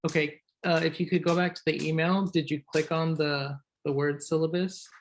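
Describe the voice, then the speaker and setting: A soft-spoken class leader in a church meeting room, opening an informal class. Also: mid-range voice, level tone, normal speed, slight background noise.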